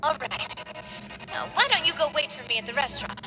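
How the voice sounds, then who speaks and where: A woman's voice: high voice, very animated, brisk, low-quality recording. A woman playing a confident, playful young female leader in an anime game, with a breezy, assured manner. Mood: warm, assured. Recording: music behind the voice.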